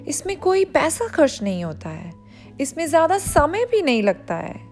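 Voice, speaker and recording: A woman reads aloud for children in a high, very lively voice. She takes her time, explaining things directly to the young listener as a storyteller would. Music plays underneath her narration. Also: moderate pace. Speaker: storyteller reading a children's picture book aloud.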